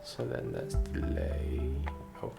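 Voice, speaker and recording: A man chatting casually on a livestream while he works, rambling and thinking aloud as a game developer. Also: slow. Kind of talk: casual chat while working on stream. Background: music playing behind the voice.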